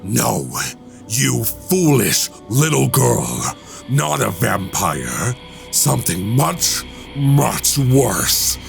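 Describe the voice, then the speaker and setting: An actor in a radio drama playing a sinister, condescending man who is secretly a werewolf, speaking softly and slowly with menace. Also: medium pitch, sing-song, vocal fry, faint music behind, heavily acted. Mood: gloating, monstrous. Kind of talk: immersive radio drama acting.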